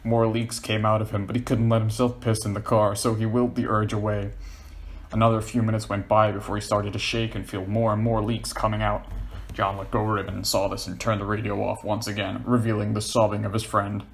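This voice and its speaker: A man's voice: on the low side, flat delivery, a little fast. A man reading scripted fiction aloud as the narrator.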